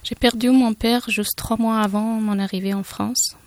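A woman from Afghanistan with an Afghan accent, her first language Persian, speaking as a guest in a radio studio interview and pausing often to find her words. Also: on the high side, steady tone, darkish tone.

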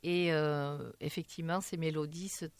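A woman singer answering radio interview questions in a halting way, with many fillers and restarts. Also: low voice, steady tone, clear, resonant tone, no echo. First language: French.

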